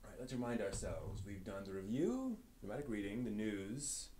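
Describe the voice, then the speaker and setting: A man's voice: lowish voice, big pitch swings, normal speed, mellow. A man hosting a live stream, chatting casually and off the cuff in a rambling way that trails off. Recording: noisy room background.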